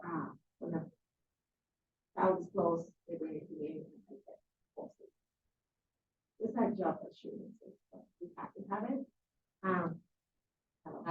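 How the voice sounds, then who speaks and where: A woman from Mexico, an advocacy program manager, speaking haltingly with many fillers at a county board meeting. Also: medium pitch, a little hiss or hum. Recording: roomy, distant, phone-like meeting recording.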